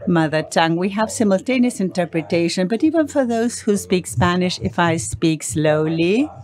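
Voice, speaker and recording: A woman giving measured live interpretation of a conference speech. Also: lowish voice, lively intonation, darkish tone. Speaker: simultaneous interpreter. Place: conference hall.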